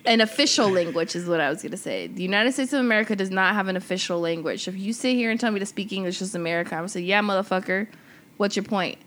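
A woman's voice: mid-range voice, very fast, fairly bright tone. A young woman co-hosting a casual podcast, talking bluntly and emphatically with lots of swearing. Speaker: podcast co-host.

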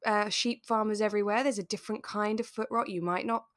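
A woman co-hosting a podcast, chatting in a relaxed, explanatory way with playful asides. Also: medium pitch, fast, no room sound, studio quality. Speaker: podcast co-host. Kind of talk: relaxed casual discussion of a radio drama.

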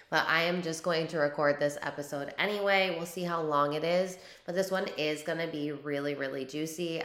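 A young adult woman hosting her podcast, slightly hoarse from losing her voice, chatting in an upbeat, rambling way. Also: low-pitched, a bit bright, reverberant. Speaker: podcast host and spiritual business coach. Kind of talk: casual solo podcast chat.

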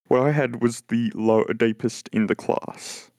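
A young man with a very deep voice talks slowly and hesitantly, restarting his sentences as he chats on a podcast. The recording is dry and close, with little room sound. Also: fairly even intonation, a little mellow, dry recording. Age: young adult. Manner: halting, hesitant, many restarts. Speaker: podcast co-host. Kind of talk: casual chat.

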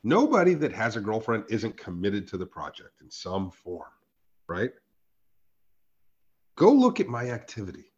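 A man with a raspy, hoarse voice, co-hosting a casual podcast chat, emphatic and quick to laugh. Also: on the low side, muffled recording. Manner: animated, emphatic, swears and laughs often. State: hoarse from a sore throat. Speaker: podcast co-host.

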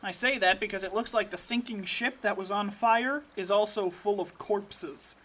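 A woman's voice: mid-range voice, moderate pace, a bit bright, a little hiss or hum, natural voice. A woman voicing a blunt, foul-mouthed male secret agent who gives crude, matter-of-fact reports. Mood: urgent. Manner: blunt, profane field-report delivery.

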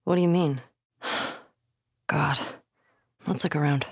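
A woman's voice: low-pitched, steady tone, slow pace, husky, no echo, clean studio sound, heavily acted. An actress playing a tough, foul-mouthed, wisecracking young woman survivor in a video game, blunt and naturalistic. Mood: casual, reflective. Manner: blunt, sweary, wry.

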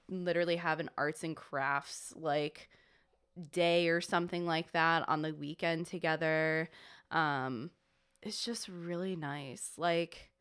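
A woman's voice: deep voice, fairly bright tone, smooth. A young woman chatting casually as a podcast guest, rambling with lots of fillers and restarts. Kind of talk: casual chat.

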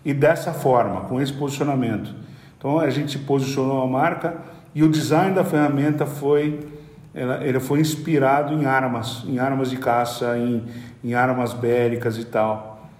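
A middle-aged man with a Brazilian Portuguese accent talks through his business experience in a relaxed, meandering way. He keeps restarting and repeating little words as he explains. His average-pitched voice carries a noticeable room echo. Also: normal speed. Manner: hesitant, repeats words while explaining at length. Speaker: podcast guest, company executive. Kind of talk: conversational business storytelling. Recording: roomy echoey room.

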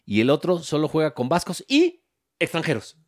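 A man's voice: fairly high pitch, wildly swooping pitch, normal speed. A man with a Mexico City Spanish accent, chatting casually about football as co-host, slangy and often hesitating.

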